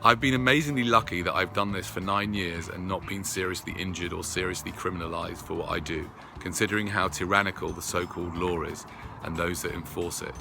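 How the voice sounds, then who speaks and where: A man earnestly reading out a prepared personal update to his followers in a deliberate way. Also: deep voice, fairly even intonation, gravelly, over music. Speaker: video creator addressing followers.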